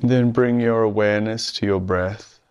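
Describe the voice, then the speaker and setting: Calm guy doing guided meditation, smooth clear voice, barely moves in pitch, lots of long pauses. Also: lo-fi sound.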